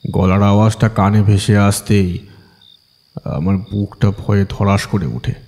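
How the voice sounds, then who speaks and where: Low, mellow male horror narrator in reverb. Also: steady tone, unhurried. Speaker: audiobook narrator. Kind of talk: dramatic first-person horror story narration. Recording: voice with long reverb.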